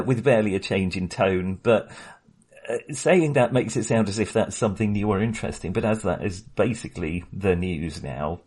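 A man's voice: fairly low pitch, darkish tone, slightly rough. A middle-aged man hosting a casual film discussion podcast with wry, ironic humour. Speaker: podcast host.

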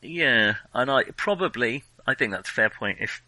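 A man's voice: mid-range voice, lively intonation, normal speed, pushed and bright, no echo, rough recording. A man co-hosting a casual film podcast, speaking tentatively and in a conciliatory way. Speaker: podcast co-host.

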